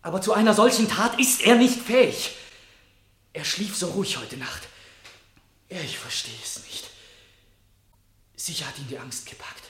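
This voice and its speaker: An actor playing an anguished young man accused of a crime in a 1960s German radio play, halting and haunted, between hushed murmurs and desperate protest. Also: high voice, sing-song. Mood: haunted, hesitant. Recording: roomy, echoing 1960s radio studio.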